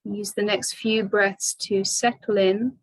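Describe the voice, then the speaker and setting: A woman teaching restorative yoga, giving calm, soothing guidance with long pauses between phrases. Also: medium pitch, rough recording. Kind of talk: guided restorative yoga instruction.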